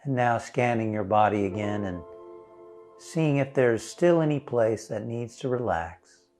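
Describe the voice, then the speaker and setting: A man leading a guided meditation as a minister, speaking in a gentle, soothing, unhurried way with long pauses. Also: mid-range voice, expressive. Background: instrumental music.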